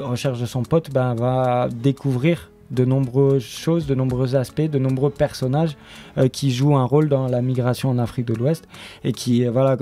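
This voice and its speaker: A man with a mellow, relaxed voice at a middle pitch, explaining as an interview guest. His delivery is halting and spontaneous. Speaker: studio member presenting an audio fiction series, interview guest.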